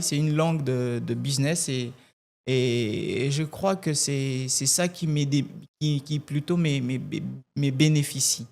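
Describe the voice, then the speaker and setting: A man from Kasai-Oriental with Tshiluba as his first language, a gospel singer answering interview questions in a measured way and often repeating words as he looks for them. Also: on the high side, a little mellow. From Kasai-Oriental, DR Congo. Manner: measured, repeats words while searching for phrasing.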